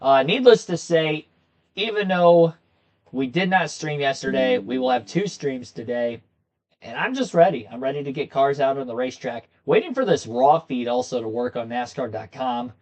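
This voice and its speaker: A young man with a slightly hoarse voice, chatting casually with viewers as he hosts a racing commentary livestream from a desk in a small room. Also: mid-range voice, animated, moderate pace, a little mellow. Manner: chatty, talks straight to his viewers.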